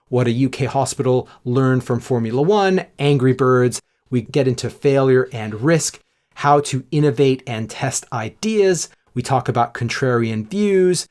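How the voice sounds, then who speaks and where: A man's voice: medium pitch, darkish tone. Upbeat male podcast host. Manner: upbeat, emphatic, drawn-out words for stress. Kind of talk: scripted podcast intro and interview.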